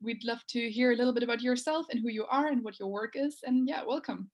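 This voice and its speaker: A woman's voice: on the high side, fast, dull audio. Upbeat woman podcast co-host. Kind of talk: scripted podcast intro and interviewing.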